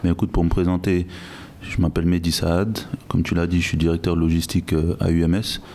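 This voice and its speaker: A man who grew up in Guinea, speaking as a guest in a podcast interview in a measured, reflective way with frequent restarts. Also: low-pitched, little pitch movement, mellow. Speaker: guest, logistics director.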